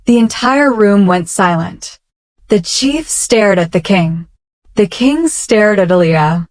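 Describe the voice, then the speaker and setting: Slow-paced woman storyteller. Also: mid-range voice, lively intonation, dry recording. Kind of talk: scripted children's bedtime story narration.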